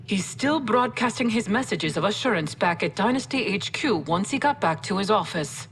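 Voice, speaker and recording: A woman's voice: medium pitch, expressive, moderate pace, a bit bright, a little hiss or hum. A woman playing a composed, wry, familiar military officer giving a conversational briefing in a video game. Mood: measured, confiding.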